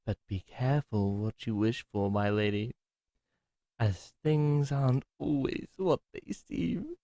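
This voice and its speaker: A man's voice: mid-range voice, slow pace, warm tone. A man playing a chipper, theatrical male butler with a hidden menace in an audio roleplay drama, speaking formally.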